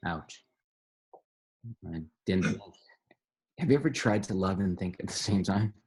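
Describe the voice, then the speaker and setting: A man's voice: low-pitched, expressive, a little slow, breathy edge, exaggerated voice, poor audio. A man acting a sardonic, heartbroken young guy in a table read, in a blunt, teasing, conversational way. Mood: wry sadness.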